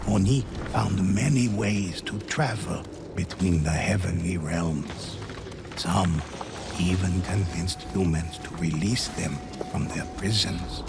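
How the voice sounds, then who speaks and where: A man playing a grave storyteller in a game, narrating a samurai legend slowly and solemnly. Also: low-pitched, gravelly, exaggerated voice. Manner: slow, solemn, deliberate. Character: grave storyteller recounting legends of ghosts and demons. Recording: loud music under the voice. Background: loud music.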